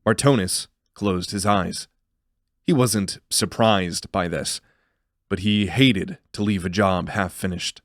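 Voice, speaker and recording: Male narrator for fantasy audio drama: lowish voice, measured storytelling, close dry sound. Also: dry recording.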